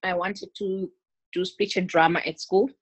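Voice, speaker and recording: A South African woman in her thirties talking casually about her life on a video call, chatty and quick to laugh. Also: fairly low pitch, steady tone, husky, dry recording. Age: 30s. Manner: chatty, laughs often, many restarts. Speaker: interview guest, gospel singer. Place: small room at home. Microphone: laptop webcam on a video call.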